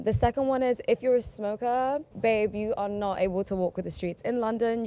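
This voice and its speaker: Animated woman, playful street interviewee. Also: mid-range voice. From India. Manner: animated, playful, mixes in Korean words.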